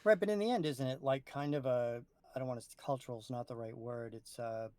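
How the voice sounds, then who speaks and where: A man past his twenties co-hosting a casual discussion, explaining his points in a measured, conversational way. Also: mid-range voice, dark tone, dry recording. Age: 30s or older. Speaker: podcast co-host.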